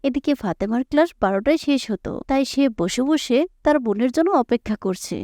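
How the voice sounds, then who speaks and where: A woman's voice: a little high, expressive, fairly quick, warm tone, no echo. A woman reading scripted story narration for a cartoon.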